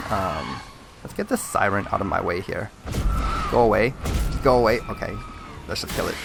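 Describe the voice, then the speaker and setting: A man's voice: fairly low pitch, creaky, background noise. A man born in mainland China, a native Mandarin speaker with a Chinese accent, chatting in a rambling, hesitant way with lots of fillers while commentating a game he's playing. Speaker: gaming channel host. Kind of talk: casual gameplay commentary.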